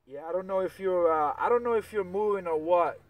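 A man's voice: sits high, brisk, phone-quality sound. Distant man on a very noisy CB radio. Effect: CB radio transmission. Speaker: CB radio operator. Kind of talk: casual CB chat, giving signal reports.

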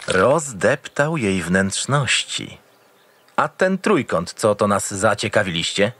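An actor playing a gruff, cynical and experienced homicide detective in an audio drama, curt and impatient. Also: male voice, on the low side, very animated, slow pace, fairly bright tone, full character voice. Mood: irritated, demanding. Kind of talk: audio drama acting with noir narration.

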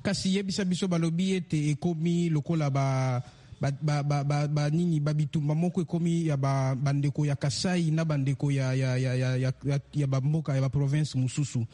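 A man asking questions as a radio news interviewer, somewhat halting and repeating words. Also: on the high side, steady tone, dark tone.

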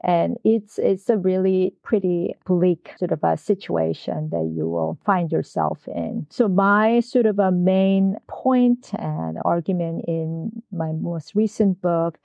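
Woman academic guest, mellow and low, hedging and restarting, muffled lo-fi sound. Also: slow pace, vocal fry, hardly any pauses, dry recording. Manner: hesitant, many fillers and restarts. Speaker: guest scholar, history professor. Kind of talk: academic interview answers.

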